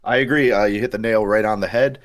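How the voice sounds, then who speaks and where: A young man with a low, hoarse voice co-hosts a casual podcast. He talks in a relaxed, chatty way, stumbling over his words and filling gaps with "uh" as he goes. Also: quick pace. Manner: relaxed, chatty, with frequent fillers and restarts. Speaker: podcast co-host. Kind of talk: casual chat.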